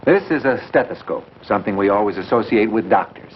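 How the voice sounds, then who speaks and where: A man's voice: fairly low pitch, animated, fast. A man hosting as announcer, giving scripted narration in a 1950s broadcast style. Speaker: announcer and host. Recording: muffled, hissy 1950s broadcast recording.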